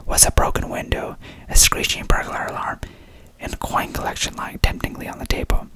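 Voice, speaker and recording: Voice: fairly quick, sharp tone, close to an everyday voice. An ASMR creator whispering the lines of a dapper, smug little male detective in a storybook reading.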